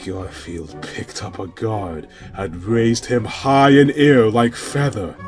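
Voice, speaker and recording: A man narrating a comic story with dramatic, deliberately stilted mock-epic phrasing. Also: lowish voice, a little mellow, soft background music.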